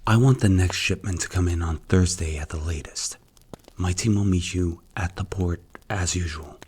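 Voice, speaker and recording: A man playing a strict, commanding mafia boss in an ASMR roleplay, speaking in a stern, deliberate, clipped way. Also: deep voice, darkish tone, husky. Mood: stern, businesslike.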